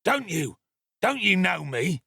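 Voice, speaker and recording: A man's voice: high-pitched, very animated, slow pace, vocal fry, bright, forceful tone, husky, no room sound, clean studio sound, pitched above the performer's own voice, heavily acted. A man voicing a brutal, desperate murderer who speaks fiercely and menacingly, in an audiobook reading. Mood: pleading. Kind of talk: audiobook character voice.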